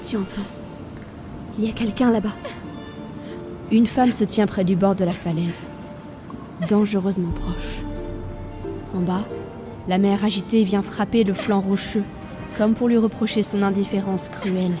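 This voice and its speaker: A woman's voice: medium pitch, fairly even intonation, unhurried, pauses often, close to an everyday voice. A woman playing Death as a weary reaper burdened by the role, in a grave, theatrical audio drama monologue. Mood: weary, brooding. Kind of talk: narrated audio fiction, dramatic first-person monologue. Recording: music behind the voice.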